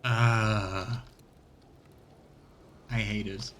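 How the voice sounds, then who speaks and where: A man's voice: fairly low pitch, little pitch movement, drawn-out words, a bit bright. Man streaming a game over background noise. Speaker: let's play streamer. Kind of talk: casual live game commentary, sometimes reading game text aloud.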